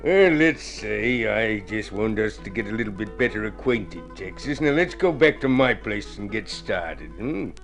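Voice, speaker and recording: An actor playing a shady, wily fixer in a radio drama, with an insinuating, hard-boiled manner. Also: male voice, lowish voice, lively intonation, measured pace, vocal fry, few pauses, a bit bright, soft background music, heavily acted. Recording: old radio drama with musical backing.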